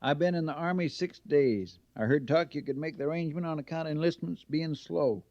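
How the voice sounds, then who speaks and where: Man playing sullen jailbird recruit, mellow higher voice. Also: a little fast, no room sound, natural voice. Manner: laconic, folksy ungrammatical speech. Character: sullen, uneducated jailbird forced into army recruitment. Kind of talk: old radio western acting. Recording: dull old radio recording.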